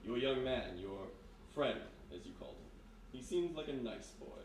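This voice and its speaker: An actor playing a sinister, courteous hypnotist in a live radio mystery, speaking in a measured, insinuating way. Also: male voice, mid-range voice, lively intonation, lightly acted. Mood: soft menace. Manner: measured, insinuating, polite, deliberate. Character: sinister soft-spoken male hypnotist, calmly menacing, courteous. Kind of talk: live 1940s-style radio mystery acting. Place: live performance in a historic house room. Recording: roomy distant live recording, background noise.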